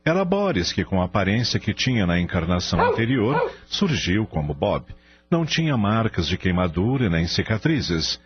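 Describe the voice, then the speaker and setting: A man narrating a scripted radio drama as an expressive storyteller. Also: fairly low pitch, moderate pace. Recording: dull lo-fi audio, faint music.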